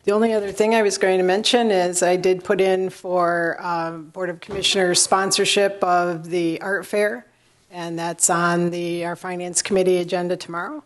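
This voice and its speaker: A hoarse woman with a fairly low voice gives a short, halting update at a city council meeting, filling her pauses with ums. She sounds middle-aged. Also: fairly even intonation. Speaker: county commissioner. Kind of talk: public meeting remarks. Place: council chamber.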